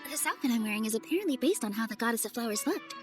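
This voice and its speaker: An actress playing a kind, graceful young festival dancer in a video game, speaking softly and earnestly. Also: female voice, fairly high pitch, lively intonation, very fast, over music, lightly acted. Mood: wistful, storytelling. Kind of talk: video game character voice acting.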